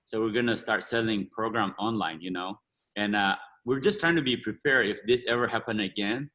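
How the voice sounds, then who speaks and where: Fast-talking earnest man, bright voice. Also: fairly low pitch. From India or Burma. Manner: quick, earnest, broken English with many "you know"s. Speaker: martial arts school owner. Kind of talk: casual interview talk.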